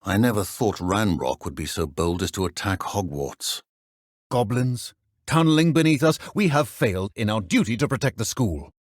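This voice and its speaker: A stern, middle-aged British professor of wizardry, very low in pitch and recorded dry. He speaks in clipped, formal, measured phrases with a dry edge, like a video game mentor. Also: animated, no echo. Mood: grave.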